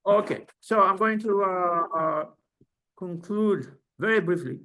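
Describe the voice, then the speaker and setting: Man, fairly high voice, panelist, halting speech, frequent fillers, video call. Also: sharp tone. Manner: halting, many fillers and restarts. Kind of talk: academic webinar remarks.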